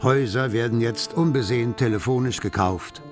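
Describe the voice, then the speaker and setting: An actor playing a wry literary narrator, telling the story in measured, scripted radio play style. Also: male voice, medium pitch, over music, natural voice. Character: literary narrator, wry observer of bourgeois households.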